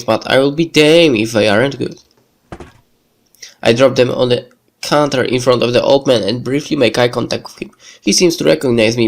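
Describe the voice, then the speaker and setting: A man who is a bit sick hosts a let's play, reading a visual novel script aloud in a halting, stumbling way. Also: mid-range voice. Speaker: let's play video host. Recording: music behind the voice. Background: background game music.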